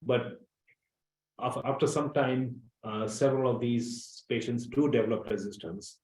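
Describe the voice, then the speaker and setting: A man's voice: mid-range voice, a little slow, a little mellow, echoey room, rough recording. A man, a scientific executive, giving a careful technical explanation on an earnings call with uhs.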